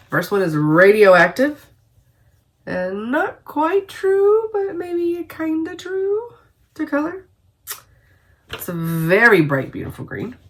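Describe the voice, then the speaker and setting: A woman's voice: medium pitch, fairly bright tone. A woman with a stuffy head cold, chatting casually and rambling, with frequent little laughs, as a cross-stitch vlogger. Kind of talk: casual chat to camera.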